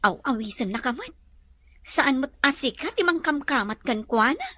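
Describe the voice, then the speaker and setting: Lively, high-pitched teasing woman friend played by an actress. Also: normal speed, no room sound, heavily acted. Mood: playful, prodding. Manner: teasing, animated. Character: teasing, nosy female confidante, playful and pushy. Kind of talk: Ilocano radio-style drama acting.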